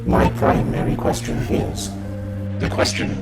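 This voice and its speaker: A man voicing an emotionless, analytical machine-like alien with clinical, formal speech and synthetic processing. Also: measured pace. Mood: detached, analytical. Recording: echoey, under loud music.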